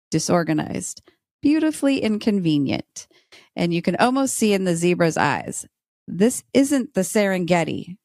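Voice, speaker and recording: A woman's voice: fairly low pitch, a little mellow, no room sound, clean studio sound. An American woman speaking in a playful, dramatic way, as a podcast guest telling stories and chatting casually. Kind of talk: lively storytelling and casual chat.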